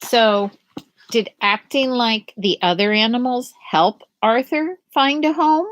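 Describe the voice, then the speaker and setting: A woman with a fairly high voice reads a children's story aloud. Her pitch moves widely and expressively. She takes her time, phrasing each part deliberately for young listeners. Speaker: storyteller reading a picture book aloud.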